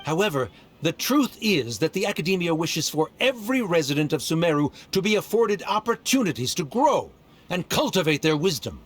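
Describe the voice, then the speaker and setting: Smug scholar guy lecturing down at people, lively up-and-down delivery, bit high for a guy. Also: a little fast, breathy edge. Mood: condescending. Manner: lecturing, formal and dismissive. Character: haughty male Academia scholar, condescending debater. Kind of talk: game voice acting.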